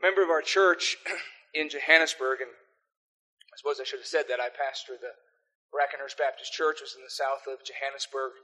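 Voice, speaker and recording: A man's voice: mid-range voice, fairly even intonation, normal speed, sharp tone, rough recording. An older man with an American accent, a pastor preaching a sermon and praying earnestly. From South Africa. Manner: earnest, pleading in prayer. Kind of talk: sermon with opening prayer.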